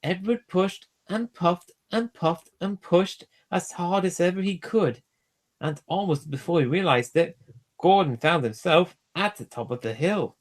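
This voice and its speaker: A man reading a children's storybook aloud as a storyteller, in an animated style. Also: high voice, unhurried. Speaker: storyteller, streamer.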